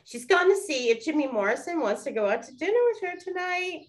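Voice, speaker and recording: A woman playing a brisk, excitable lady detective of the 1920s in a radio play. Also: sits high. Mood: chatty.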